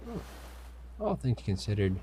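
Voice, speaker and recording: A man's voice: lowish voice, huge pitch swings, mellow. A man playing a stern, menacing pirate captain who grudgingly cares, in a commanding audio roleplay with noisy ambience behind him. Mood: gruff, grudging concern. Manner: slow, commanding, with sighs and feigned indifference. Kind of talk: audio roleplay acting, addressing a captive listener.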